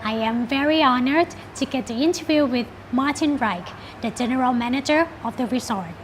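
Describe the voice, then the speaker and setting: A woman's voice: sits high, moderate pace, a little hiss or hum. A woman TV host narrating a travel feature and asking interview questions in a polished presenter's manner.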